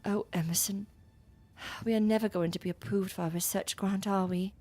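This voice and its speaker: A woman playing a disillusioned female scientist in an audio drama, speaking in a formal, measured way. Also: medium pitch, fairly even intonation, darkish tone, close to an everyday voice. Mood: despairing. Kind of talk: period audio drama acting.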